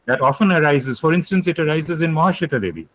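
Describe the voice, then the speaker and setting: A man's voice: on the high side, expressive, fast, poor audio. Emphatic male lecturer on a video call. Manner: emphatic, explanatory, repeats key points. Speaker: guest lecturer. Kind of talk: online lecture with questions.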